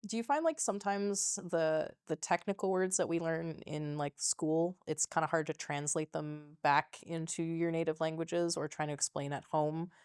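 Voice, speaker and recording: A woman in her thirties hosting a school podcast, chatting casually and giving steady encouraging backchannels, recorded on a lapel mic in a small studio. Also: deep voice, moderate pace, few pauses, smooth, no echo. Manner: encouraging, frequent "mm-hmm" backchannels. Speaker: podcast host, teacher. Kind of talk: casual interview chat.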